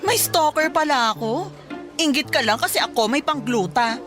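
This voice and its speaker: Swooping high-pitched haughty young woman. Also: animated, normal speed, a bit bright, faint music behind. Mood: smug, mocking. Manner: taunting, theatrical. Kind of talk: Filipino radio drama acting.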